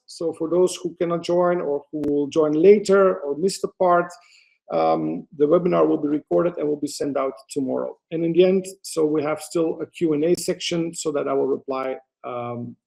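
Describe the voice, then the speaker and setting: A middle-aged Belgian man speaks English as a second language, with a Dutch accent. His voice sits a little high and has a gravelly, creaky edge. He presents to an online audience through a computer mic, hesitating and restarting his sentences often. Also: mellow, poor audio. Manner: hesitant, frequent fillers and restarts, non-native phrasing. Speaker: photographer presenting a webinar.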